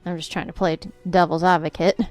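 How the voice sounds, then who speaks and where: A woman co-hosting a relaxed podcast conversation, hesitant and easygoing and often hedging. Her voice is smooth and resonant. It sits rather low for a woman. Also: quick pace, faint music behind. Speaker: podcast co-host. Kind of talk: casual chat.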